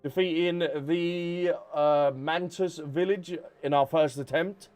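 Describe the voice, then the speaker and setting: A man with a fairly high voice streams live over background music. He talks at an unhurried, drawn-out pace, chatting informally with his viewers as the host. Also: fairly even intonation, darkish tone. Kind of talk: casual livestream chat with viewers.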